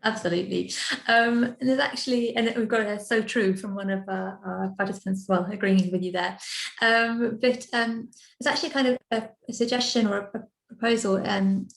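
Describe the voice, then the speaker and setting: A woman's voice: fairly high pitch, normal speed, gravelly, echoey room. A young adult woman moderating a live online Q&A, relaying audience questions in a friendly way over a video call. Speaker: event moderator.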